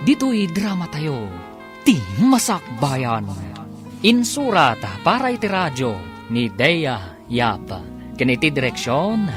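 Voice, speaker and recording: A Filipino man narrates as a radio drama announcer. He speaks slowly and dramatically with sweeping intonation, over prominent guitar music. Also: on the high side, sing-song.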